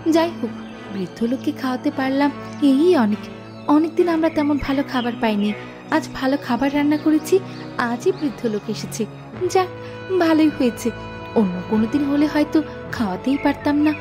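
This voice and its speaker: A woman's voice: high-pitched, animated, moderate pace. A woman playing a kind, stubborn young village girl in a cartoon fable, earnest and insistent. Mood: kindly musing. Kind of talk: Bengali cartoon fable voice acting. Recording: loud music under the voice. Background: music.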